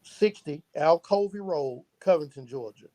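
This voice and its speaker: Man, high-pitched voice, podcast guest, conversational interview speech. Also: expressive, a little slow, dark tone. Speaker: podcast guest, founder of a youth mentoring organization.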